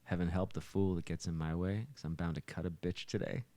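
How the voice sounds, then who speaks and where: A man's voice: low voice, steady tone, fairly quick, dark tone, no echo. A man chatting casually and telling stories as a musician and songwriter on a podcast, speaking into a close microphone. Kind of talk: casual storytelling chat. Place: living room.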